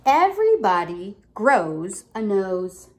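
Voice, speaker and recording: A woman's voice: medium pitch, sing-song, darkish tone, slight background noise. A young adult woman reading a picture book aloud like a teacher, with emphatic phrasing and questions for a young child. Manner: deliberate, emphatic storybook phrasing, prompting with questions. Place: indoor room at home.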